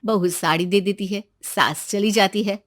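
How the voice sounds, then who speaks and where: A woman narrating a scripted story in an animated storytelling style. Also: medium pitch, normal speed, dry recording, studio quality.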